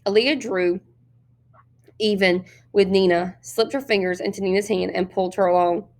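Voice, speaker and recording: Female story reader for novel narration, mid-range voice, expressive delivery. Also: medium pitch, normal speed.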